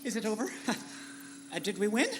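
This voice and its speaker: A woman playing an excitable, fretful space doctor who stammers, in a live radio comedy. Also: mid-range voice, brisk, slight background noise, close to an everyday voice. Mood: relieved, fretful. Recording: echoing live hall.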